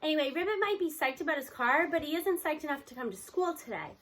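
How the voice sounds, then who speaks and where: A woman reading a children's novel aloud in an expressive storybook style, acting out the dialogue. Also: high-pitched, fast talker. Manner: animated, acts out the characters' dialogue.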